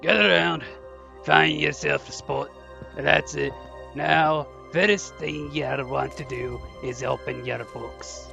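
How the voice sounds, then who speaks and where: A big rustic gamekeeper, heavily gravelled and unhurried. He is folksy, protective and eager in this audio drama, with a bright, forceful edge to his voice. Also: fairly high pitch, fairly even intonation, soft background music, exaggerated voice. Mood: hearty, rallying. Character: big hearty gruff gamekeeper teaching class, eager, protective.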